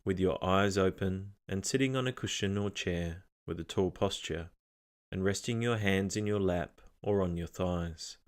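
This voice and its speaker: A man narrating a scripted guided meditation, giving gentle instructions in a calm, measured manner. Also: low voice.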